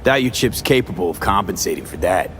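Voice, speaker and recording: A man's voice: deep voice, lively intonation, a little fast, a bit bright, a little hiss or hum. An actor playing a tough, skeptical male mercenary in a video game, with a dry, laconic and clipped delivery. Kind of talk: cinematic game dialogue.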